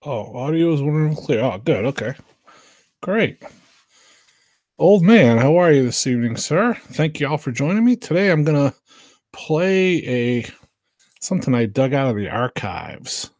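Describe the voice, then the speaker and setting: Male livestream host, informal and halting, medium pitch, dry recording. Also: very animated, darkish tone, no echo. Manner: informal, halting, greeting listeners. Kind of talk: casual livestream chat.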